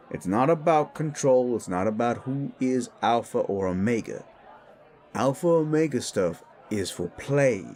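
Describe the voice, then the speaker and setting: A man's voice: medium pitch, a little mellow. A man voicing an older, patient, experienced werewolf mentor who explains things frankly in an audio drama. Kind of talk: scripted audio drama dialogue.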